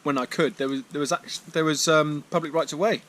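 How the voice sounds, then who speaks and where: A man vlogging on a hiking trip, thinking aloud in a relaxed way with fillers and repeats, recorded on an action camera. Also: on the high side, brisk. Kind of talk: vlog narration to camera. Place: outdoors on a walk, then sheltering in a barn.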